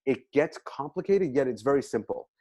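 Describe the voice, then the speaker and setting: A man's voice: medium pitch, expressive, normal speed, warm tone, no room sound. A man speaking casually and emphatically as he promotes a product, repeating phrases to drive points home.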